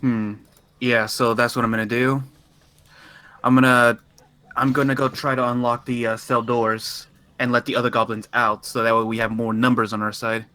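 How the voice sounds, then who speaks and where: A man's voice: mid-range voice, flat delivery, normal speed, sharp tone, dry recording. A man chatting casually as a player in a tabletop game.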